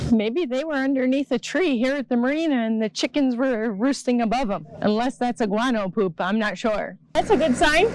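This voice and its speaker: A woman's voice: high voice, low-quality recording. A woman in her fifties chatting casually as she walks around a marina, filming her own vlog. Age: 50s. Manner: chatty, laughs easily. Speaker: vlogger touring a boat yard. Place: outdoors at a marina.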